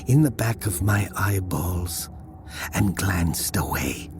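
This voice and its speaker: A man playing a haunted storyteller, narrating his own dark tale in the first person with measured, breathy emphasis. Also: deep voice, faint music behind, heavily acted. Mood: uneasy. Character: haunted male storyteller recalling a meeting in hell.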